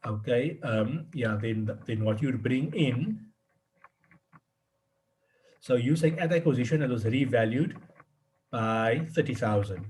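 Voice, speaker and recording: A man's voice: mid-range voice, slow pace, pauses often, darkish tone, low-quality recording. A man working as a tutor, explaining in an informal one-on-one session, often repeating and rephrasing himself as he goes. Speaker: accounting tutor.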